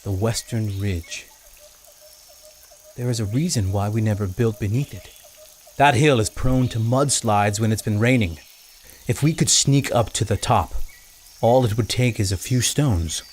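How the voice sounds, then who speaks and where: A man's voice: on the low side, a little slow, long pauses, slight background noise, close to an everyday voice. An actor playing a grave, strategic male island ruler in an old-time radio drama.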